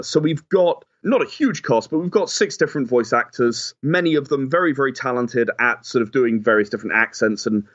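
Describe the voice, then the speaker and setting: A man's voice: mid-range voice, highs cut off. A man being interviewed as a game creator and producer, explaining his work conversationally.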